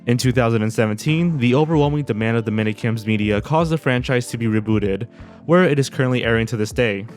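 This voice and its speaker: A man's voice: medium pitch, fast talker, a little mellow, faint music behind. A man narrating a countdown video from a script.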